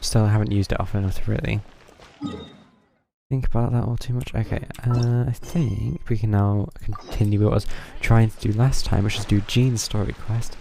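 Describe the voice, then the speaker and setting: A man's voice: fairly low pitch, fairly even intonation, fairly quick, gravelly, dark tone, a little hiss or hum. A man giving casual, rambling live commentary as he plays a game. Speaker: game streamer.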